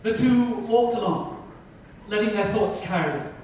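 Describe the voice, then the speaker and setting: A man's voice: high-pitched, measured pace, a little mellow. A middle-aged man narrating a dramatic reading of a book. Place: club lounge on stage-like set, indoors. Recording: echoey room, distant mic, noisy.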